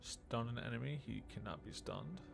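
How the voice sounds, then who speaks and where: A man's voice: medium pitch, expressive, normal speed, creaky. A man doing casual let's play commentary as a streamer, thinking aloud in an offhand mutter. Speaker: video game streamer. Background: game music.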